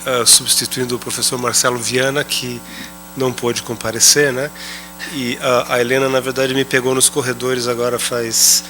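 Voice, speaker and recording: Panelist, man, bright tone, unprepared and halting. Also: medium pitch, a little hiss or hum. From São Paulo state, Brazil. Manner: hesitant, many "ah"s and restarts. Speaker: round-table panelist. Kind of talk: improvised talk at a round table.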